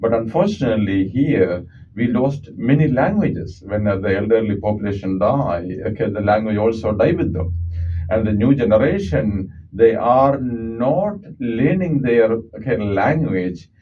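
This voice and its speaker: A man's voice: fairly low pitch, animated, measured pace, far from the mic, highs cut off, a little hiss or hum. A man from Kerala with a South Indian accent and Malayalam as his first language, explaining things earnestly as a guest on a radio interview, with frequent "uh" and "okay" fillers. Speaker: audiologist guest. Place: radio studio.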